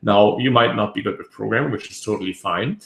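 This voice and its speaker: A man with a raspy voice hosting a casual webinar, rambling with many fillers and restarts. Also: fairly low pitch, fairly quick, a bit bright. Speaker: webinar host. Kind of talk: casual live webinar talk. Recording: echoey room.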